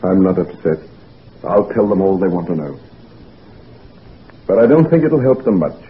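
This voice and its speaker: An actor playing an anguished, secretive convalescent gentleman doctor in a brooding, melodramatic 1940s radio drama style. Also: low voice, sing-song, normal speed. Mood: uneasy. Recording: noisy, tinny 1940s radio recording.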